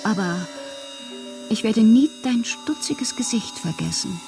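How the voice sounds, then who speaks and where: An actress in a radio drama playing a lonely, whimsical bohemian woman writer, intimate and wistful, with a husky, breathy voice that often drops to a whisper. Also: on the high side, expressive, unhurried, a little mellow, soft background music. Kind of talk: literary radio monologue.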